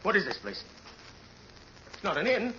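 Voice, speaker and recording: An actor in an old radio drama playing a desperate, embittered convict who stammers and repeats himself in a halting way. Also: male voice, high-pitched, wildly swooping pitch, bright, forceful tone, exaggerated voice. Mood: agitated, defiant. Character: desperate, embittered male convict, humble then defiant. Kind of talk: 1930s radio drama acting. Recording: noisy old radio recording, muffled, reverberant hall.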